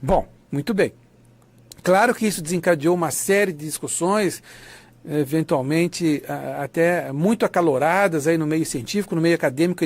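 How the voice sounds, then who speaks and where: Higher-voiced Brazilian man giving a lecture. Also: a little mellow. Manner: didactic, conversational, often seeks confirmation with tags. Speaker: lecturer of an academic writing course. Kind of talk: online lecture, informal explanation.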